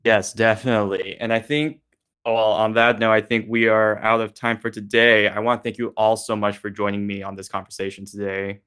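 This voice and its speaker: A man hosts a casual discussion. His voice is on the low side and stays very level in pitch, with a polite manner. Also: little pitch movement, fairly quick, fairly bright tone, smooth. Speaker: discussion host.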